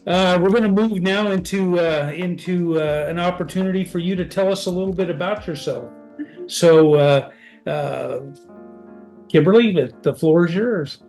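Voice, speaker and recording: Higher-pitched American man hosting casually. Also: normal speed, darkish tone, soft background music. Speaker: host, town mayor. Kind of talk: casual chat show hosting.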